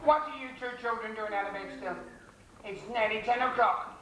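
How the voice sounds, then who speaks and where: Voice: medium pitch, a little fast, natural voice. An actor playing a bustling comic nurse in a stage pantomime, scolding and repeating words in flurries, recorded in a theatre. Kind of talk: broad stage pantomime comedy. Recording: roomy theatre from far off, noisy audience.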